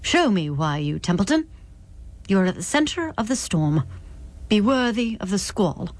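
A woman's voice: medium pitch, very animated, measured pace, darkish tone, no echo. A woman voicing a stern, cryptic otherworldly visitor in a dramatic book reading, solemn and commanding. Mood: solemn warning.

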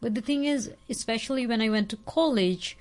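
A woman with an Indian English accent co-hosts a radio talk show. She explains her points in a conversational way, and her voice sits a little higher than most women's. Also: brisk, a little mellow. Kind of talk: casual on-air radio chat. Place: radio studio.

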